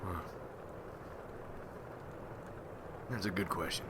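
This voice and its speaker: A man playing a weary, haunted rural Civil War veteran turned vampire hunter, telling his story slowly and haltingly in an ASMR roleplay. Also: sits low, heavy background noise, close to an everyday voice. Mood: wistful. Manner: slow, halting, somber folksy storytelling.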